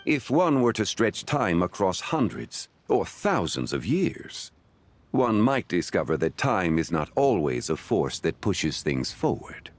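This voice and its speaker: A man voicing a composed, courteous, learned gentleman in a video game, with formal, measured phrasing. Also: low-pitched, big pitch swings, heavily acted. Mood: reflective. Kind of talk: scripted video game dialogue acting.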